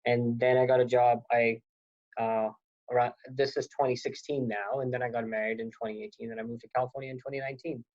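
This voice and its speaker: A man's voice: mid-range voice, flat delivery, quick pace, a little mellow. A man in his thirties from Pakistan, talking as an interview guest in a rambling, self-correcting way. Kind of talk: casual interview talk. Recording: dull lo-fi audio.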